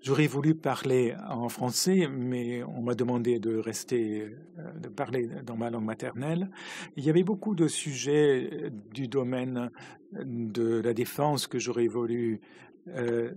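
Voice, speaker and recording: Husky-voiced male interpreter, halting delivery. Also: medium pitch, a little mellow, no echo. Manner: halting, uneven pacing with many restarts. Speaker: simultaneous interpreter. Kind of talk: simultaneous interpretation of parliamentary speeches.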